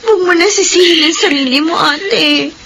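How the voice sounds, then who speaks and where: Tearful younger sister, very high and bright; radio drama, faint hiss. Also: female voice, normal speed. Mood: anxious. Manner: tearful, anxious. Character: Menchi, distraught younger sister, young adult. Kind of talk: classic radio drama acting.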